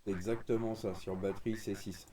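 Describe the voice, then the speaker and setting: A man's voice: on the low side, steady tone, brisk, heavy background noise. A man chatting casually and enthusiastically as a radio hobbyist, in an informal way. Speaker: radio amateur streaming a group conversation.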